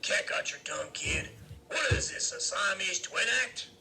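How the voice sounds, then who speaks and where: A man's voice: high-pitched, expressive, moderate pace, vocal fry, loud music behind the voice, voice pushed up. A man voicing a menacing, mocking motorcycle gang boss in an audiobook story, curt and commanding.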